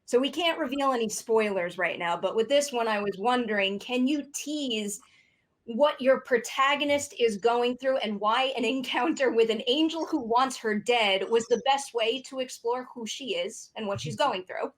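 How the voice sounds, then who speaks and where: Woman, fairly high pitch, podcast host, enthusiastic, articulate, prepared interview delivery. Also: moderate pace, poor audio.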